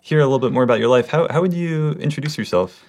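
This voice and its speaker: A man's voice: mid-range voice, fast, a little mellow. A man hosting a laid-back podcast. He asks open, easygoing questions and keeps his guest talking with short, friendly replies. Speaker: podcast host. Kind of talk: casual interview conversation.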